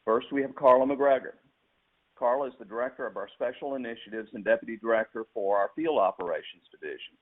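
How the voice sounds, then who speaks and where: A man, a judge, reading formal prepared remarks and introducing the speakers of a webinar. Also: mid-range voice. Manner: formal, reads from a script. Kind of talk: prepared remarks read aloud. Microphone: phone conference line. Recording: tinny phone line.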